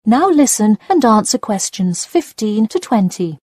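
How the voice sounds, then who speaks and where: A woman reading scripted listening test instructions in a formal, clearly paced manner. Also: a little high, sing-song, a little slow, darkish tone, no echo, studio quality.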